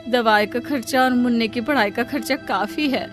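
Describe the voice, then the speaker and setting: Woman as a plaintive, high-voiced young wife. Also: moderate pace, soft background music, lightly acted. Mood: pleading. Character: worried, pleading young wife and mother, long-suffering.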